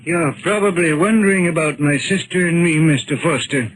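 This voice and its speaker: An actor playing a secretive, courtly old gentleman recluse in 1940s radio drama, formal, polite and measured. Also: fairly high pitch, a little hiss or hum, close to an everyday voice, low-quality recording. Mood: confiding, ashamed.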